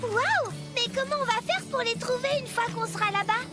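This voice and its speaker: Very high-pitched eager little truck kid. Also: lively intonation, moderate pace. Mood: curious. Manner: bubbly, inquisitive, exclamatory. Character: eager, curious young mini-truck kids. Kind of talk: French children's cartoon acting. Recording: loud music behind the voice. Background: cartoon music.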